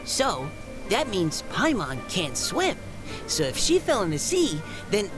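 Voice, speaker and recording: A shy young boy knight from a video game, stammers earnestly and doubts himself. The pitch jumps about in a flustered way, and music plays clearly behind. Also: huge pitch swings, slow, poor audio. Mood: hesitant, earnest. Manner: stammering, hesitant. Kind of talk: animated video game voice acting. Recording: game dialogue over clear background music.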